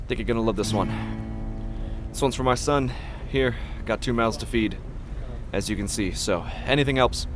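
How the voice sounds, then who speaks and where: A man playing a desperate, ingratiating street busker in a horror audio drama, speaking in a theatrical, halting way and repeating himself. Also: mid-range voice, soft background music, close to an everyday voice. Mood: folksy, grateful. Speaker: actor in a horror fiction podcast. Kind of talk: dramatic first-person audio fiction monologue.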